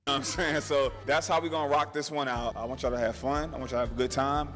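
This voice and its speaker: A man's voice: mid-range voice, fairly even intonation, fast, fairly bright tone. A man hosting a dating show, explaining the rules in casual slang with hyped-up energy. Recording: roomy reverb with music under it.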